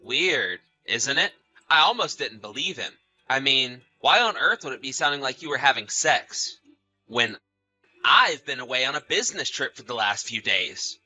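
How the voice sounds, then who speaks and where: A man's voice: medium pitch, big pitch swings, moderate pace, pushed and bright, no echo, soft background music. A man acting a betrayed husband in a dramatized story, polite but suspicious and accusing, with a theatrical, emphatic delivery. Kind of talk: dramatized text-message story narration.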